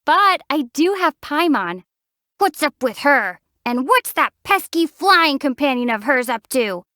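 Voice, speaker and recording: A young wandering heroine for a video game. Her voice sits high and calm, and she explains things with dry, teasing wit. It is recorded close and dead dry in a studio. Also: measured pace, no room sound, full character voice. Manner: dry teasing explanations and sharp battle shouts. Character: young female traveler hero, calm and wry.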